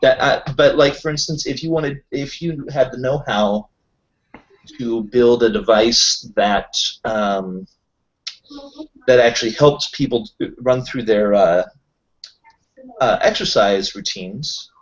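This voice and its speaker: A man's voice: medium pitch, slow pace, dull audio. A man playing a tabletop roleplaying game, explaining things in an informal, rambling way in casual chat.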